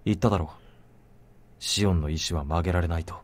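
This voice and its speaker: Fast, deep-voiced stoic young man. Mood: resolute. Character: stoic, blunt young man, coolly resolute. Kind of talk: Japanese visual novel voice acting.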